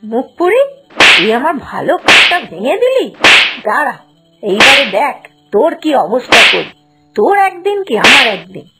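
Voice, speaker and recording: A woman's voice: high-pitched, big pitch swings, normal speed, very noisy. A woman voicing a cruel, harsh, sarcastic mother who scolds and threatens in a cartoon fairy tale. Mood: furious, threatening. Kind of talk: animated fairy-tale voice acting.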